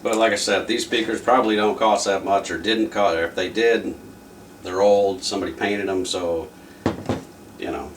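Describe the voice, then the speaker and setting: A man's voice: lowish voice, fairly even intonation, normal speed, noisy background. A man giving a casual hands-on repair demonstration in a plain-spoken, folksy way, as the host of a repair video. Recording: distant microphone, music playing.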